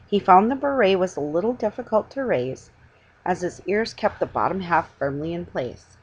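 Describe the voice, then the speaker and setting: A middle-aged woman reading a children's story aloud at home, in a somewhat halting way. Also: lowish voice, expressive, dull audio, slight background noise. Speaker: amateur storybook reader. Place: room at home, indoors.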